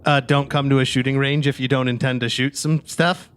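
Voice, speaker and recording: A man's voice: medium pitch, level tone, brisk, fairly bright tone, a little hiss or hum, natural voice. A man playing an irritable, sarcastic dwarf gunman in a tabletop game, curt and foul-mouthed.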